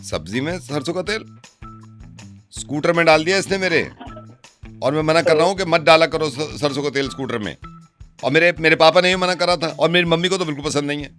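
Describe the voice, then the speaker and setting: A man playing an exasperated, quarrelsome husband in a comic prank call, arguing loudly and repetitively. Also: on the high side, brisk, faint music behind, natural voice. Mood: aggrieved, pleading. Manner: loud, agitated, repetitive arguing. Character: exasperated, quarrelsome husband whining about his wife. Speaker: radio prank caller.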